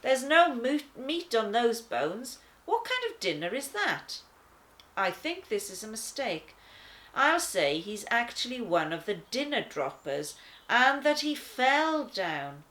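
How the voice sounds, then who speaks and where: Woman playing an easygoing lion with unhurried, animated delivery. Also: a little high, voice pushed up, exaggerated voice. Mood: reasoning. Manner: conversational, wry, dramatic pauses. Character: easygoing, laid-back talking lion, chatty and wry. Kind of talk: first-person children's storybook narration.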